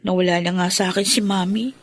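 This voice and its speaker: An actress playing an earnest, questioning grown daughter in a Filipino radio drama. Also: female voice, on the low side, steady tone, fast talker, dry recording, lightly acted. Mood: hurt, questioning.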